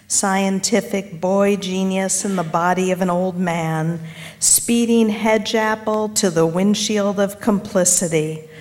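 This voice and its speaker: A middle-aged woman giving a tribute and reciting a poem at a memorial. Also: fairly low pitch. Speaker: poet and family friend at a memorial. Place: memorial gathering at an art center.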